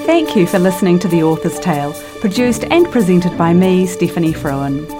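Casual chat, woman host, lowish voice, gushing, trails off a lot, faint music under. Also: lively intonation, normal speed. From New Zealand. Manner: chatty, effusive, trailing sentences with tag questions. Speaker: podcast host and interviewer. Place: home interview.

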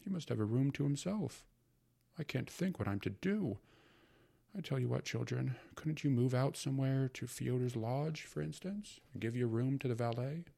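A man's voice: mid-range voice, moderate pace, vocal fry, mellow, pitched above the performer's own voice. A man voicing a fretful middle-aged mother who fusses and sighs over household troubles. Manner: fussing, sighing, emphatic.

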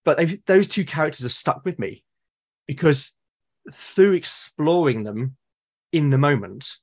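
A British man in casual, reflective conversation, explaining things in a halting, thoughtful way and often rephrasing himself. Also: fairly high pitch, animated. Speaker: podcast guest or co-host, tabletop game master. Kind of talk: casual reflective conversation about roleplaying games.

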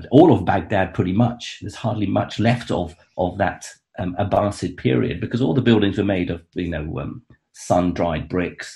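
Low-voiced man explaining haltingly. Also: creaky, mellow. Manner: hesitant, many restarts and fillers, enthusiastic. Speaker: author and guest expert. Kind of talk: conversational explanation.

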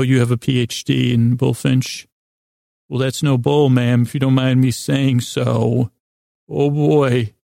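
Male bedtime podcast host: gravelly and dry-sounding, halting, goofy, meandering improvised monologue. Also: mid-range voice, warm tone, no echo. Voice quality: creaky, gravelly.

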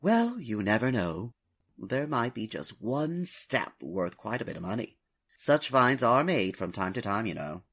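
An actor playing an urbane, smug gentleman stamp collector with a fussy, precise, affected way of talking, in the style of old-time radio drama. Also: medium pitch, sing-song, a little slow, slightly rough, heavily acted. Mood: genteel, eager.

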